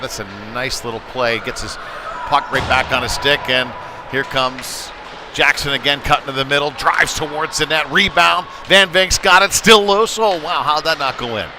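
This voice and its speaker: A man's voice: mid-range voice, normal speed. Man calling live hockey over venue noise. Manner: conversational, rising to excitement with the play. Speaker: youth hockey play-by-play commentator. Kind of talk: live sports commentary with casual asides.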